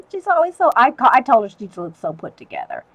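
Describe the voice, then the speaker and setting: A woman's voice: high-pitched, wildly swooping pitch, moderate pace, breathy edge. A middle-aged woman, chatting casually with viewers while she draws, laughing often. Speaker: artist livestreamer. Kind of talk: casual chat while drawing.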